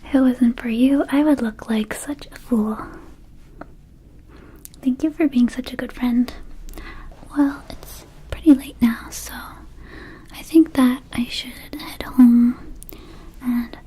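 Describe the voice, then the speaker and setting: A quirky little vampire shopkeeper, played by a woman in an intimate ASMR roleplay. She speaks in a soft, mellow whisper with halting, affectionate delivery, as if confiding in a dear friend. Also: high voice. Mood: grateful, friendly. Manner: gentle, halting, intimate, addressing the listener as a friend. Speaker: ASMR performer.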